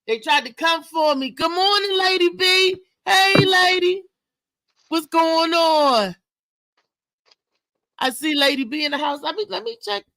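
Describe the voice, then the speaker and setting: High-voiced, brash woman hosting live. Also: unhurried, pauses often, a bit bright. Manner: brash, sassy, slangy. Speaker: live show host and comedian. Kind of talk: casual live morning show chat, ranting.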